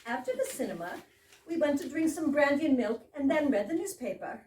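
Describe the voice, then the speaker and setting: A woman's voice: sits high, sing-song, fairly quick, a little mellow. A woman playing a perky household maid who announces herself in a staged reading of an absurdist comedy. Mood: perky, declaring. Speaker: amateur actress in a readers theater. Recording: roomy, distant, noisy live stage recording.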